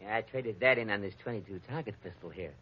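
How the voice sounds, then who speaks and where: A man's voice: fairly low pitch, fast, slightly rough. An actor playing a smug little gunman who threatens with taunting, mock politeness, in 1940s radio crime drama style. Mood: smug menace. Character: menacing little gunman, smugly polite henchman. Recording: dull, band-limited old radio recording.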